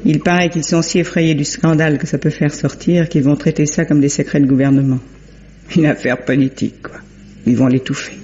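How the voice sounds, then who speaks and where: A woman's voice: low voice, flat delivery, brisk, warm tone, slight background noise, close to an everyday voice. A woman playing an earnest woman sleuth, muffled sound. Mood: grave, sad. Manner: earnest, emotional. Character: brave, devoted young woman sleuth, tender and earnest. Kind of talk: 1950s French radio drama acting. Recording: muffled old radio recording, faint music.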